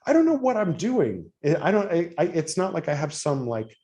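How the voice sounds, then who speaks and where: A man's voice: a little high, very animated, moderate pace, mellow. A man from California hosting a podcast, talking casually and hesitantly with many restarts. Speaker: podcast host. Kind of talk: casual conversation.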